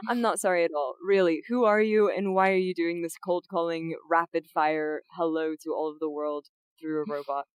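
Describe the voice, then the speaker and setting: A woman guest, a voice actress and streamer, chatting casually on a podcast in a playful, giggly way that leaps into high excited tones. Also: normal speed, clear, resonant tone, no room sound.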